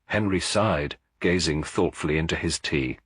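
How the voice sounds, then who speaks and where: A man narrating a detective story as a scripted audiobook, in a measured, formal storytelling manner with a husky voice. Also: rumbling low, dry recording, studio quality.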